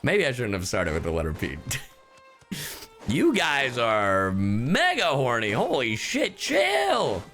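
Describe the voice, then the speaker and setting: Animated, slow-paced game streamer. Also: medium pitch, soft background music. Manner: playful, exclamatory, sweary. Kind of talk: casual livestream reaction commentary.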